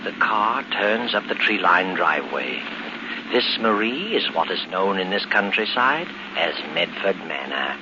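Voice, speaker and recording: A man playing a sinister, mocking, all-knowing narrator of dark tales in 1940s radio style, ominous and theatrical. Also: deep voice, sing-song, measured pace, noisy background. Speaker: narrator and host of a mystery series. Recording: muffled 1940s radio broadcast under loud music.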